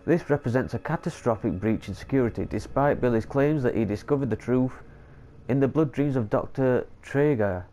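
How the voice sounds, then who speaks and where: A man's voice: mid-range voice, moderate pace, warm tone. A man, stuffy from a cold, commentating casually on a horror game and stumbling over long words as he reads notes aloud. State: congested with a cold. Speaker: gameplay commentator. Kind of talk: casual let's play commentary.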